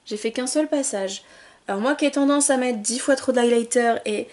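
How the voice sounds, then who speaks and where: A woman's voice: fairly high pitch, moderate pace, a little hiss or hum. Gushing woman doing a makeup tutorial. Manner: gushing, chatty, trails off into soft asides. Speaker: beauty vlogger.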